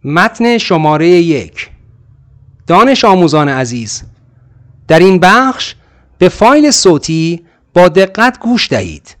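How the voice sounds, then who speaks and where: A man's voice: sits high, sing-song, very fast, pauses often. A man reading scripted listening-test instructions in a formal, announcing tone. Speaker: exam narrator.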